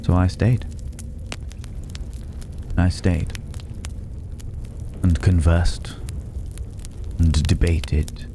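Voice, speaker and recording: A man playing a mysterious, courteous stranger in an intimate fantasy ASMR roleplay, speaking softly and pensively in formal, old-fashioned phrases, sometimes near a whisper. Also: deep voice, noisy background. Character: mysterious, courteous male stranger living in a dragon's cave.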